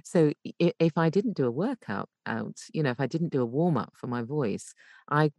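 A British woman talking conversationally as an interview guest, explaining things in an animated, emphatic way from a home voice booth. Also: sits low, normal speed, no room sound. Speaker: podcast guest, voice actor and coach.

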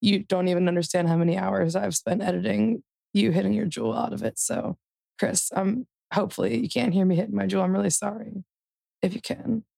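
Young woman, vocal fry, gravelly tone, rambling casual podcast guest. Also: mid-range voice, fairly quick, dark tone. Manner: rambling, heavy on fillers. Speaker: podcast guest, event production worker. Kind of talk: casual chat.

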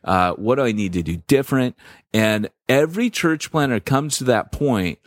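A man co-hosting a podcast in casual banter, joking and self-deprecating, with a deep voice and lots of filler words. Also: expressive, normal speed, no echo, studio quality. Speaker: podcast co-host.

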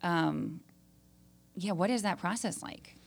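A woman hosting a podcast in a studio, chatty and eager, with quick little replies. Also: fairly low pitch, vocal fry, breathy edge. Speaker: podcast host. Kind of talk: casual interview chat.

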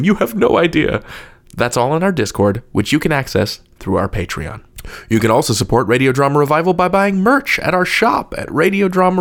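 A man's voice: medium pitch, creaky. A man hosting a podcast, reading semi-scripted announcements in a playful, wry way. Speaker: podcast host.

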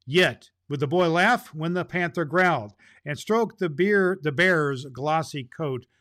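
A man hosting as Santa Claus, reading a children's storybook aloud in a gentle, jolly way and sometimes stumbling over words. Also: a little high, a little slow.

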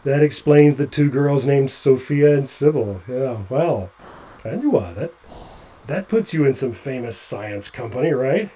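An actor playing a nervous, chatty male doctor climbing along a ship's hull, halting with stammering restarts. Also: medium pitch, lively intonation, dark tone. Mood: anxious. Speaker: physician on a spacewalk. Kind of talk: audio drama acting.

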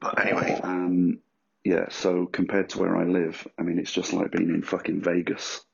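A man with a husky, rough voice rambles through his solo podcast, crude and self-mocking. His voice sits very low with a heavy gravelly fry. The recording sounds dull and lo-fi. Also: monotone, normal speed. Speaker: podcast host.

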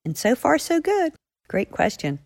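A woman's voice: sits high, huge pitch swings, measured pace, creaky, a little mellow, no room sound, studio quality. A woman author answering a question in an earnest, chatty manner. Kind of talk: podcast guest answer.

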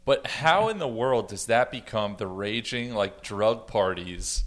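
A man's voice: fairly low pitch, lively intonation, unhurried, a bit bright. A man speaking casually as a panelist in a podcast roundtable.

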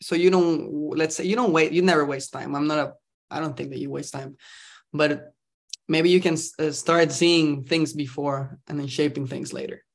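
A man's voice: fairly high pitch, steady tone, moderate pace. A young man, chatting upbeat as a podcast guest. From Costa Rica. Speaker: guest, startup founder. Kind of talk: casual interview chat.

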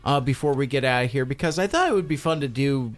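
Lively, animated male radio host. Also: mid-range voice, fast. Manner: rambling, full of fillers and restarts. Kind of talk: casual studio banter. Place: radio studio.

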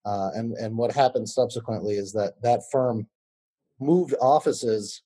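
A man in his thirties, an attorney giving a podcast interview, answering in a measured way with fillers and restarts. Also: mid-range voice, mellow, husky.

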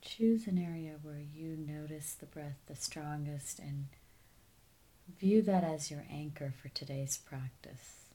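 Female meditation guide: deep register, very unhurried pacing, calm and soft-edged delivery. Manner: calm, soothing, unhurried with soft phrase endings. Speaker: meditation teacher.

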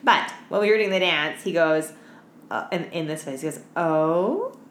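A woman's voice: low-pitched, animated, normal speed. A sleep-deprived young woman co-hosting a podcast, chatting bluntly in slangy speech full of "like" and "um". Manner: slangy, blunt, heavy use of "like" and "um". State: tired, no sleep. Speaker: podcast co-host. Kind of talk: casual chat, telling work anecdotes. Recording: echoey room with clear background noise.

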